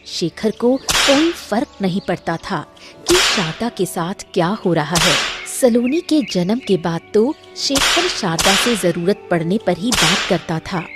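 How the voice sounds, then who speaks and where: A woman's voice: medium pitch, big pitch swings, a little slow, loud music behind the voice. A woman reading scripted narration as the storyteller of a moral tale.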